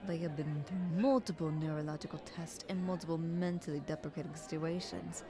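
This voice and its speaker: A woman playing a scheming, flattering queen in an audio roleplay, speaking in a haughty, ornate courtly manner. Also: low-pitched, a little fast, over music, a crowd in the background, lightly acted. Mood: haughty, persuasive. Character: visiting queen, scheming, flattering, condescending royal negotiator.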